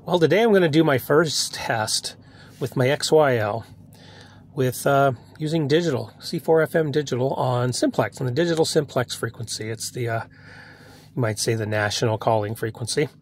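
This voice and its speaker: A man's voice: medium pitch, normal speed, slight background noise. A man chatting casually as a radio hobbyist and video host, rambling and hesitant, recorded inside a car. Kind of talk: casual vlog narration.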